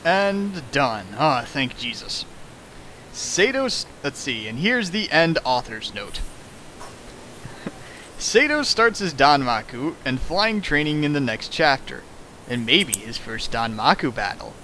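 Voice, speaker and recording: A man's voice: on the high side, sing-song, takes it slowly, sharp tone, poor audio. A man casually riffing on a text he reads aloud as host, in a sarcastic, mocking manner. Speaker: host of a fan fiction dramatic reading.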